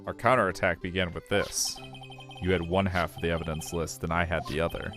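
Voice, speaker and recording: A man's voice: sits low, fairly even intonation, fairly quick, music in the background, lightly acted. A man voicing a supportive, slightly flustered defence lawyer in a fan dub of a video game. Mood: earnest. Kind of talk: fan voice acting of a visual novel game.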